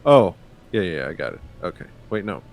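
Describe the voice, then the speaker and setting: A man playing a tabletop roleplaying game in casual chat, hesitantly thinking out loud. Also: low voice, very animated, a little fast, a little hiss or hum.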